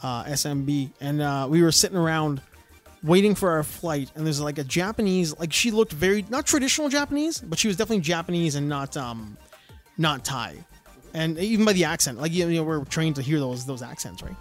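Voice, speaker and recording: A young man chatting casually on a podcast, an animated storyteller full of restarts and "like" fillers. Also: fairly high pitch, a little fast, faint music behind.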